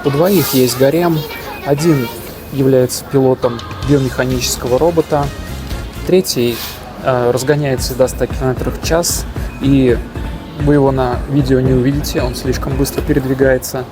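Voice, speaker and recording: A man's voice: mid-range voice, steady tone, moderate pace, music in the background. A man casually narrating his travel vlog outdoors, joking, boasting and using slang. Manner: jokey, boastful, slangy storytelling. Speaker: travel vlogger.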